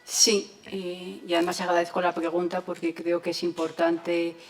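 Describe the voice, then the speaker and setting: Una mujer con acento habla como ponente en una mesa redonda académica. Su voz es grave para una mujer y bastante monótona, y avanza con dudas y muchas muletillas. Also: female voice, fairly low pitch, monotone, moderate pace, slight background noise. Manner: hesitant, with many fillers. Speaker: panelist. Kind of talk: academic panel discussion. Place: university lecture hall.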